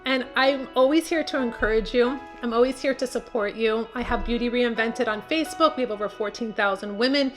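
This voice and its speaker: Upbeat woman host with a higher voice. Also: a little fast, few pauses, music in the background. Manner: emphatic, encouraging, repeats key words. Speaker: beauty channel host. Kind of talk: talking to camera, giving tips.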